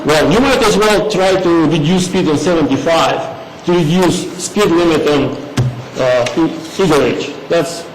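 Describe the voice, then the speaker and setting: A middle-aged man speaking at public comment in a township meeting, halting and earnest, English. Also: on the high side. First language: not English. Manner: halting, earnest, with frequent pauses and fillers. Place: large meeting hall. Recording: echoey hall recording, faint hum.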